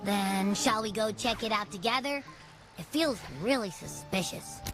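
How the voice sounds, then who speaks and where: A woman's voice: mid-range voice, unhurried, music in the background, close to an everyday voice. A woman voicing a small, playful and boastful creature companion in a video game, chatty and informal. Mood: curious, puzzled.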